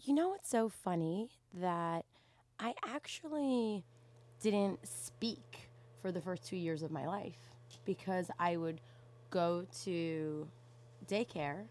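A young woman from Canada in casual conversation, telling stories in an animated way and often saying "like". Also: lowish voice, slow. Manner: animated storytelling, frequent "like".

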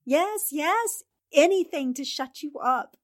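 A woman's voice: high-pitched, animated, slow, no echo, studio quality. A woman acting a determined, easily exasperated newspaper reporter in a 1940s-style radio drama. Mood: amused exasperation.

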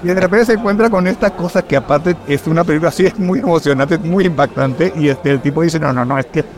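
A middle-aged film director from Puerto Rico with a mixed Caribbean and Mexican Spanish accent, chatting casually and telling stories with lots of fillers. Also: male voice, fairly high pitch, fast. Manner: chatty, storytelling, fillers like "este". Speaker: film director, podcast guest. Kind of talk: casual conversation.

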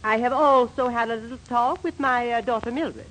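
Actress as a high-voiced, domineering middle-aged matron. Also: female voice, moderate pace, a bit bright, lightly acted. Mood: icily stern. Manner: stern, formal, imperious. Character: domineering, indignant middle-aged wife and mother. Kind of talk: 1940s radio comedy acting. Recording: faintly noisy 1940s radio recording.

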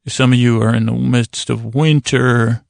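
Creaky-voiced man rambling a bedtime monologue. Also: mid-range voice, little pitch movement, darkish tone, no echo, studio quality. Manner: meandering, stop-and-start, drawn-out soothing delivery. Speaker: podcast host. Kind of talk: rambling bedtime monologue to lull listeners to sleep.

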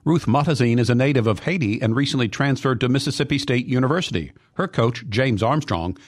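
A man's voice: mid-range voice, animated, fairly quick. A man reading scripted news narration as an anchor.

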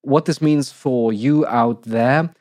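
Croaky-voiced man co-hosting a podcast. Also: mid-range voice, darkish tone, no room sound. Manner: articulate, measured, laughs often. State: slightly croaky, recovering from illness. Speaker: podcast co-host. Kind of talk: casual podcast announcement.

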